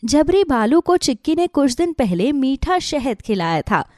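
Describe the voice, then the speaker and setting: A woman's voice: sits high, big pitch swings, unhurried, a little mellow, no echo, clean studio sound. A woman narrating a scripted children's animal story.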